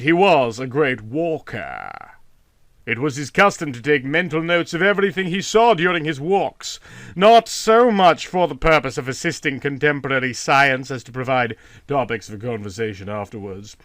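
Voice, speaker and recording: A man reading a literary short story aloud as an expressive narrator. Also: a little high, dry recording, studio quality.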